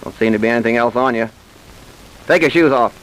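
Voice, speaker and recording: An actor playing a gruff, suspicious American detective chief, blunt and hard-boiled, in an old-time radio crime drama. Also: male voice, mid-range voice, a little fast, a bit bright, lightly acted, low-quality recording. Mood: gruff, accusing.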